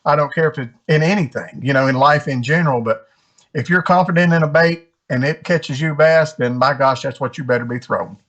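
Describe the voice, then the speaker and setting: An American man from North Carolina speaks as a podcast guest. His pitch is a bit higher than average for a man. The delivery is casual, conversational interview chat. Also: a little fast, a bit bright, lo-fi sound. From North Carolina, United States. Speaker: podcast guest, tournament angler.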